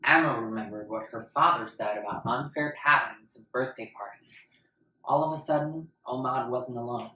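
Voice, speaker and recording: Storyteller reading a kids book, bright forceful voice, kinda far from the mic. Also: mid-range voice, normal speed, roomy sound. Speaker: narrator. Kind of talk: dramatic children's story reading.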